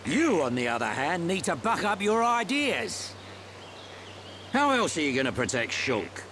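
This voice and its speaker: A man playing a gruff, caring older soldier and mentor in a game, with a British accent and blunt, colloquial talk. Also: on the high side, big pitch swings, measured pace, noisy background. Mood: stern, encouraging.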